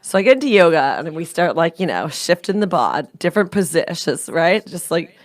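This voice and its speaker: A woman comedian co-hosting a podcast, telling rambling, animated stories in casual chat and laughing at her own jokes. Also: lowish voice, brisk. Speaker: stand-up comedian, podcast co-host.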